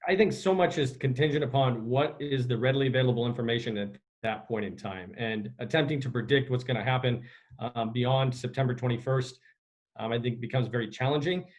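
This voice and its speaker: Male sports administrator, medium pitch, formal and measured, fielding press questions on a video call. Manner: measured, formal, official phrasing. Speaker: executive director of a school sports association answering questions. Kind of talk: media conference call answers. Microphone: video conference call.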